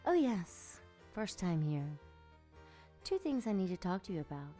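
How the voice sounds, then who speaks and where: Slinky femme fatale, young, dark soft low voice, drags words out real slow, teasing and dangerous, radio drama. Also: female voice, very animated, faint music behind. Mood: coy, teasing. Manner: slow, breathy purring, teasing and commanding. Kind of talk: audio drama acting.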